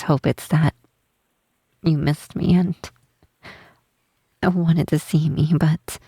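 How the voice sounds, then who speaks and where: Woman playing a slow, husky, shy half-troll cleric. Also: deep voice, no echo, studio quality, full character voice. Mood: wistful, unsure. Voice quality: soft, husky, breathy. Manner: hesitant, halting, apologetic, with sighs. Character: tall half-troll woman cleric, shy, guilt-ridden former lover. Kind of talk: ASMR roleplay, intimate scripted monologue.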